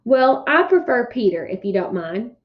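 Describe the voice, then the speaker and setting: A woman's voice: fairly high pitch, sing-song, moderate pace, lo-fi sound. Woman as an exasperated preteen storybook boy. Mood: annoyed. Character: exasperated sixth-grade boy, sarcastic older brother. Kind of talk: children's storybook read-aloud.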